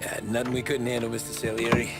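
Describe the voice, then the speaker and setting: An actor playing a guarded newcomer to the mob in a video game, terse and understated. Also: male voice, mid-range voice, completely flat delivery, fast, loud music behind the voice, lightly acted. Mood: respectful. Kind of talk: cinematic game acting.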